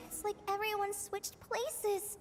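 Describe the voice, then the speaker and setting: Very high-pitched, anxious young ranger girl. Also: little pitch movement, slow pace, long reverb tail, background noise. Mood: puzzled. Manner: hesitant, anxious, stammering. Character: confused, earnest young female forest ranger trainee. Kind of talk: video game voice acting.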